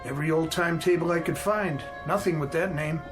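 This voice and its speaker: High-pitched, folksy American train conductor played by a man. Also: brisk, music in the background, natural voice. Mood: folksy, easygoing. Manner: clipped, folksy phrasing. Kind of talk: modern radio drama acting.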